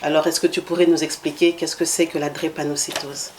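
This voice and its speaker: A woman's voice: deep voice, little pitch movement, brisk, slight background noise. A woman hosting a web TV interview, introducing her guest and asking questions in a friendly manner. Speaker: host.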